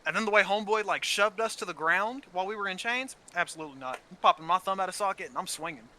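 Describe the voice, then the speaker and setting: A man's voice: high voice, fast talker, sharp tone, a little hiss or hum. A man in casual, heated gaming chat, swearing often and repeating words as he gets his point out. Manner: frequent swearing, heated and opinionated. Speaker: gaming channel co-host. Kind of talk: casual gameplay chat about story.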